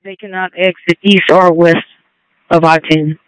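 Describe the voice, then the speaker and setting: A man's voice: high-pitched, level tone, a little slow, fairly bright tone, clean tone, dry recording, poor audio. A man working as an emergency responder, making terse reports over two-way radio. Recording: fire department two-way radio traffic.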